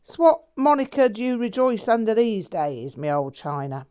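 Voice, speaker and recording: A woman's voice: on the high side, very animated, moderate pace, clear, resonant tone, pitched above the performer's own voice. A woman reading a novel aloud, voicing a gleeful, brash man. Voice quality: loud, brash, slangy.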